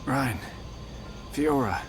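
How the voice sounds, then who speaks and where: A man's voice: medium pitch, drawn-out words, slight background noise. A man with an English accent playing an earnest, thoughtful young inventor in a video game. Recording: orchestral music behind the voice.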